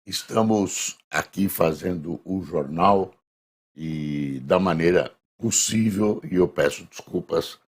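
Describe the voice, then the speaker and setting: A hoarse man with a Brazilian accent presenting a casual spoken review of newspaper front pages, slowly reading headlines aloud with emphasis. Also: low-pitched. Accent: Brazilian Portuguese. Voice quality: gravelly, husky.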